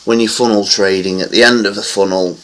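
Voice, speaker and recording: A man's voice: fairly low pitch, fairly even intonation, a little fast, a bit bright, poor audio. A man giving casual, rambling commentary on silver price charts, with many fillers. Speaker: market analysis vlogger.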